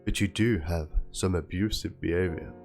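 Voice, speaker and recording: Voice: low voice, normal speed, darkish tone. A reader giving a theatrical dramatic reading of written messages in an aggrieved, pleading manner. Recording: music under the voice.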